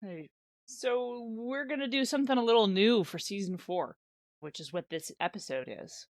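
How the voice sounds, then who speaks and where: A woman's voice: on the high side, normal speed, no room sound. Woman podcast host, very dry close sound. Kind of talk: casual chat.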